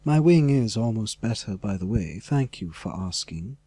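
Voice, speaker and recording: Guy doing a snooty dragon villain, lowish mellow voice, mocking, audiobook style. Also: lively intonation, moderate pace, no echo. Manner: mocking, nastily polite. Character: sly, haughty aristocratic male dragon villain.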